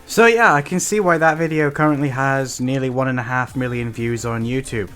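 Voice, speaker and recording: A man's voice: mid-range voice, brisk, fairly bright tone, faint music behind. A man hosting a reaction video with casual commentary.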